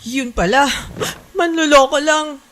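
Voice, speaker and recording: A woman's voice: high-pitched, a little slow, a bit bright, a little hiss or hum. An actress playing a heartbroken, trusting young woman in a Filipino radio melodrama, plaintive and tearful, with a Filipino accent. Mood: tearful, hurt.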